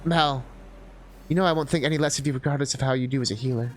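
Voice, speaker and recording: A man voicing a protective, anxious young woman adventurer in a fantasy story, earnest, gentle and hesitant with a loved one. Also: mid-range voice, fast talker, faint music behind, voice pushed down, natural voice. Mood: frustrated.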